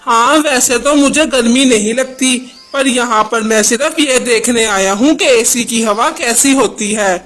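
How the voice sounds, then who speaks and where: Man voicing a high-pitched, bright, scheming cartoon crow. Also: unhurried, few pauses. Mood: feigned nonchalance. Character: scheming freeloading male crow, sly and self-satisfied. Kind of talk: children's cartoon story dialogue.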